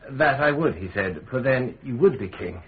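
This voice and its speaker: An actor playing a nervous, evasive witness giving hesitant, subdued testimony in a radio drama. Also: male voice, mid-range voice, expressive, normal speed, narrow, tinny audio, close to an everyday voice. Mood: uneasy. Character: uneasy, evasive male witness, ambitious perjurer.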